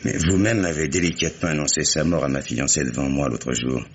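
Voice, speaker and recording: Very deep-voiced, sardonic male suspect. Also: steady tone, a little fast. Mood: sarcastic. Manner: indignant, evasive, sarcastic politeness. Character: male crime suspect, touchy, sardonic former swindler. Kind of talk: French radio mystery drama acting. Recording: faintly noisy old radio recording.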